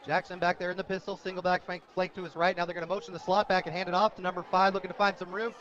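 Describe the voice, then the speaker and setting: A man calling live high school football play-by-play for radio, describing each moment in detail. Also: level tone, very fast. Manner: descriptive, rising to shouts on big plays. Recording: dull, lo-fi radio broadcast.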